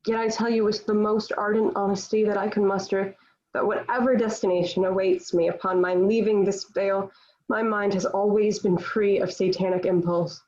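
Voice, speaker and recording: A woman's voice: medium pitch, fairly even intonation, normal speed, a little mellow, natural voice. A woman playing a defiant, devout young warrior held captive, with solemn, declamatory phrasing in a table read of a historical drama, on a dull lo-fi recording. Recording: dull, lo-fi home online recording.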